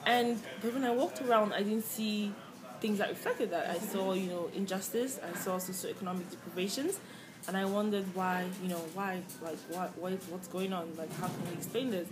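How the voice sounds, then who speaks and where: A woman's voice: mid-range voice, moderate pace, crowd noise, poor audio. Earnest young woman from Cameroon. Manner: earnest, reflective, many fillers and restarts. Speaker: interviewee. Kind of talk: personal story in an interview. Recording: clear background noise.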